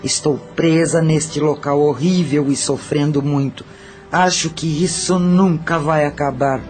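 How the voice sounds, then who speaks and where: A woman's voice: sits low, fairly even intonation, measured pace. Woman acting regretful ghost of a madam, brazilian accent, cold confession, audio drama w music behind. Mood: anguished regret. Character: tormented female spirit, former brothel madam, cold and regretful.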